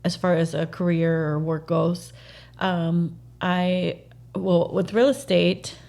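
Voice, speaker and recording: Low, gravelly-voiced woman realtor. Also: fairly even intonation, a little mellow. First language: Spanish. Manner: earnest, halting with frequent fillers. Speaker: realtor, interview guest. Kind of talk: casual interview conversation.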